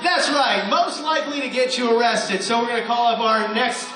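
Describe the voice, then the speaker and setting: A man's voice: very high voice, normal speed. A man hosting a live radio show as announcer, with a theatrical showman's delivery before a studio audience. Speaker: host and announcer. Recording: echoey live room with audience.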